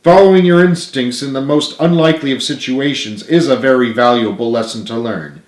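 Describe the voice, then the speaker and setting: A man acts out an earnest, loyal man from a storybook. He speaks with emphatic, pleading sincerity at a medium pitch in a room with clear echo. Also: fairly quick, lightly acted. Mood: encouraging. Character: earnest, remorseful, encouraging man, loyal friend. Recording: roomy, echoing room sound.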